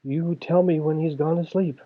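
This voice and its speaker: Man doing a sharp-tongued ogre's wife, tinny sound. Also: on the high side, steady tone, normal speed, voice pushed up, natural voice. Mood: urgent. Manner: sharp, scolding.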